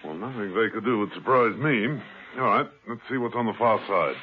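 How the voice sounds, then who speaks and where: An actor playing a suave, unflappable British spy in a 1970s radio drama, with a dry, laconic wit. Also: male voice, on the low side, fairly quick, lightly acted. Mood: wry. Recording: noisy tinny old radio broadcast.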